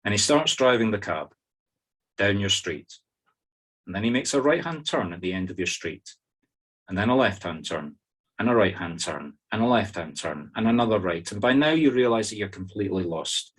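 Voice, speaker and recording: Middle-aged man, Scottish accent, lowish pitch, guided meditation, informal instructions, wry humour. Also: expressive, normal speed, husky. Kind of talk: spoken guided meditation to a group.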